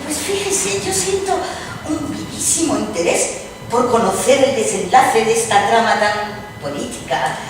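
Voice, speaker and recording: Woman acting a high, curious lady, far off. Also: animated, measured pace. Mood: curious, intrigued. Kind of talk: dramatized radio-play reading. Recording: distant echoey room, clear noise.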